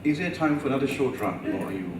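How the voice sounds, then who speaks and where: A man moderating a conference Q&A, courteously and briskly calling on questioners. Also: mid-range voice, expressive. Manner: brisk, courteous, managing turns. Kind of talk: chairing a Q&A. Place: large conference hall. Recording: roomy hall, distant mic, noisy.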